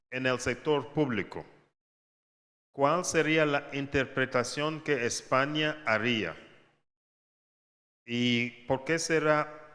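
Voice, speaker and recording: A man's voice: mid-range voice, pushed and bright. A man moderating a conference panel, speaking hesitantly with many fillers as he moves on to the audience questions. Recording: long reverb in a large hall with a faint audience.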